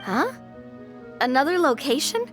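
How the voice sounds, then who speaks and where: A woman's voice: sits high, sing-song, measured pace. A woman voicing a playful, confident young noblewoman who plays an adventurer, in video game style. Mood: enthusiastic. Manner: playful, enthusiastic. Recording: music behind the voice.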